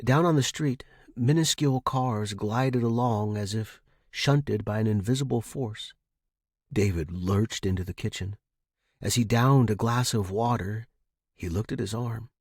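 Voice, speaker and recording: A man's voice: fairly low pitch, a little slow, darkish tone, slightly rough, dry recording, studio quality. A man reading a scripted fiction story as its narrator.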